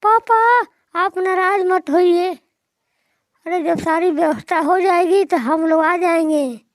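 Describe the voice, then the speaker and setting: A woman's voice: extremely high pitch, takes it slowly, no room sound, clean studio sound. A woman voicing a stubborn, demanding young wife in a moral-story cartoon, insistent and complaining.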